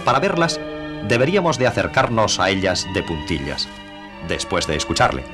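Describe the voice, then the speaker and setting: A man narrates scripted art commentary in a formal, literary register. His delivery is very animated in pitch, with music playing behind him. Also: moderate pace.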